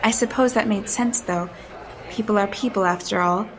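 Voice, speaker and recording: A woman's voice: mid-range voice, moderate pace, some chatter behind, close to an everyday voice. An actress playing a wary, polite young woman in a gothic audio drama, with a hesitant, careful and genteel manner. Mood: reflective. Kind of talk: audio drama acting with narration.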